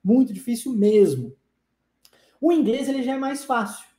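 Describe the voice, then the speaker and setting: A man in his forties with a soft, mellow voice teaches a live online class. He talks emphatically, pushing his argument and repeating rhetorical questions to the viewers. The room around him rings with a noticeable echo. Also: very high-pitched, lively intonation, moderate pace. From born in Brazil, raised in the United States. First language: English. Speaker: English teacher.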